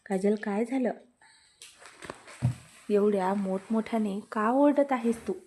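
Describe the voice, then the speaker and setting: Woman doing a calm college girl smoothing things over, soft mellow voice, slow. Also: medium pitch, slight background noise, natural voice. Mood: concerned. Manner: soothing, explaining. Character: gentle peacemaking young college woman.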